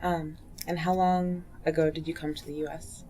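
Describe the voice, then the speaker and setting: A woman interviewer gently asking questions in an oral history interview. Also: sits low, flat delivery, normal speed, a little hiss or hum. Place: community center room.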